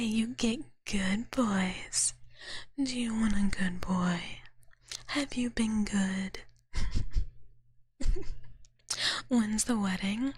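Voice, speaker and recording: A young woman whispering softly to her viewers in a drowsy, teasing chat, tired and a bit out of it. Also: mid-range voice, slightly rough, no echo. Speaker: streamer.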